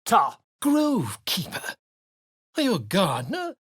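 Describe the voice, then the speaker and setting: A man's voice: high-pitched, wildly swooping pitch, slow, a bit bright, husky, no room sound, clean studio sound, heavily acted. An actor playing a flamboyant, self-important male bard and scribe in a video game, speaking in a theatrical, flowery, pompous way. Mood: grandiose.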